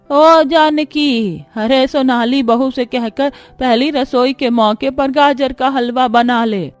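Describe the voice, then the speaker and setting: A woman's voice: high voice, pitched above the performer's own voice. A woman voicing a bossy, grumbling old village grandmother-in-law who commands and scolds, in a cartoon story.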